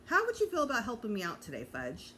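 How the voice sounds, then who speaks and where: A woman's voice: a little high, huge pitch swings, fast, pushed and bright, a little hiss or hum, heavily acted. A woman voicing a friendly, encouraging gray-bearded male author who plays showman in a children's storybook.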